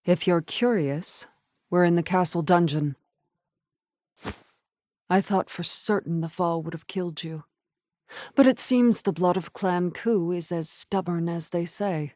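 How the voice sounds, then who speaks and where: An actress playing a stern, cold castle jailer in a dramatic video game. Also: female voice, fairly low pitch, unhurried, pauses often, a little mellow, natural voice.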